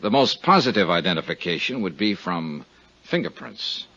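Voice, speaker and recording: Insurance investigator, deep husky voice, cool, polite, persistent, 1950s radio detective style, dull recording. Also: male voice, lively intonation, moderate pace, bright, forceful tone, full character voice. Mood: reassuring, firm. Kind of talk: 1950s radio detective acting and first-person narration.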